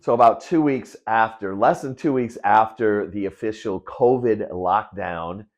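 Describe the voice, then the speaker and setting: A man's voice: fairly low pitch, unhurried. A man in his early fifties sharing personal reflections as the creator and narrator of a video. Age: early 50s. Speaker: video creator and participant, sociology teacher.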